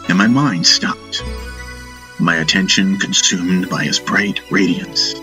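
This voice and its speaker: A man reading a first-person fantasy audiobook in a measured, dramatic storytelling manner, with music behind him. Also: sits low. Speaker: audiobook narrator.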